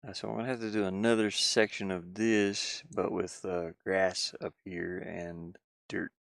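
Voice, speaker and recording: A man's voice: deep voice, little pitch movement, measured pace, gravelly, a bit bright, no echo, studio quality. A man live streaming game design work, rambling and musing casually as he hesitantly thinks aloud.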